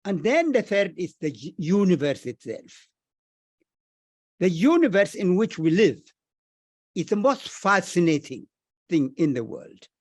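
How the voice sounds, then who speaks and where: Man, very high voice, earnest panelist, deliberate emphatic phrasing, dry sound. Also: sing-song, dry recording. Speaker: panelist, scholar. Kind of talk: reflective panel discussion.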